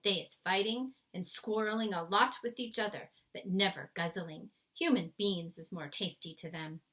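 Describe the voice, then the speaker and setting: Woman as a gentle nervous storybook giant. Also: medium pitch, moderate pace, natural voice. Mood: explaining. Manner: soft-spoken, quaint muddled grammar. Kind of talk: storybook read-aloud character voice.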